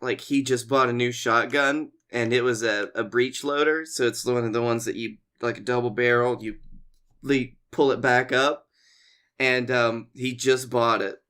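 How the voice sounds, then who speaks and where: Bright-voiced man rambling wryly. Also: medium pitch, fairly even intonation, normal speed, clean tone. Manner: wry, profane, rambling. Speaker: comedy podcast co-host. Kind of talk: casual chat and rambling anecdotes.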